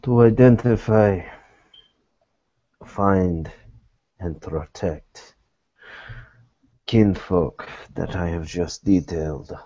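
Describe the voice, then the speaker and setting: A low, mellow-voiced, guarded investigator played by a man in a tabletop roleplaying game. He picks his words slowly and formally, weary and deliberate. The recording is dull and dry. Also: no echo, exaggerated voice. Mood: guarded, measured. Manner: slow, halting, deliberate, formal phrasing. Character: weary, guarded male private investigator in secret fellowship.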